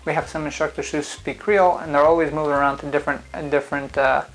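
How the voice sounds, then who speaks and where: Non-native English-speaking man, driving instructor type, relaxed explainer with faint music under him. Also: a little high, fast, poor audio. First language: not English. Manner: conversational, explains step by step with frequent "uh" fillers. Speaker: driving school founder and instructor. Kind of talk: casual explainer video.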